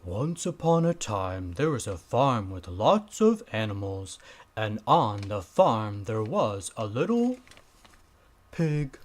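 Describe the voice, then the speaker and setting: A man's voice: lowish voice, very animated, takes it slowly, heavily acted. A man voicing a nice, naive puppet who hosts and narrates a children's story show. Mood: storytelling.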